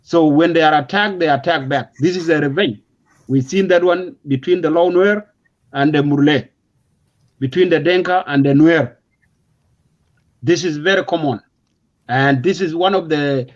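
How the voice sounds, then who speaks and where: Male political commentator, South Sudanese accent, high-set voice, emphatic and repetitive explainer, muffled low-fidelity recording. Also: normal speed. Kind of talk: unscripted explanatory political discussion. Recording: dull, lo-fi audio.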